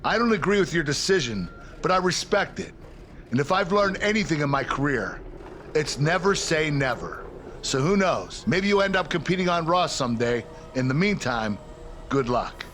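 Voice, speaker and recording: A formal wrestling show executive in a video game cutscene. His delivery is composed and deliberate, a bit high in pitch, over faint noise. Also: very animated, a bit bright. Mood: gracious.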